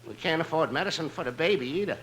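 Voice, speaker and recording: Plaintive high-voiced messenger. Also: male voice, lively intonation, fast, fairly bright tone. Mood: mournful, pleading. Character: scheming telegram messenger with a hard-luck sob act. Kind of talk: 1950s radio comedy acting. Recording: noisy old 1950s radio broadcast.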